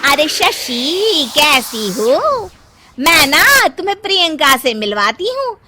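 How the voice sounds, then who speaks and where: A woman's voice: high voice, big pitch swings, slow pace, noisy background, full character voice. A woman voicing a boastful, bossy middle-aged mother-in-law in a comic moral story, exclaiming and scolding. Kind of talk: Hindi moral story cartoon acting. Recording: loud music behind the voice.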